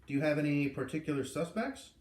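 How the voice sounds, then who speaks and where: A man's voice: fairly high pitch, lively intonation, fast, a little mellow, lightly acted. A man reading the part of a mild, earnest, folksy policeman in a storybook. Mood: explaining. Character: plain-spoken, mild, curious policeman. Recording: echoey room, far from the mic.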